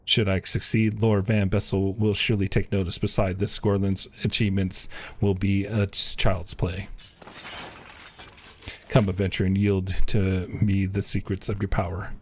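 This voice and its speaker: A man live streaming a game, chatting and reading dialogue aloud in a flat, stumbling way. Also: deep voice, normal speed, slight background noise.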